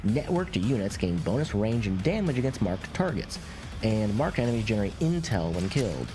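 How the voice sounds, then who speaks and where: A man's voice: fairly low pitch, sing-song, normal speed. A man giving casual gameplay commentary as a channel host, conversational and thinking aloud. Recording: game music clearly behind voice. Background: game music.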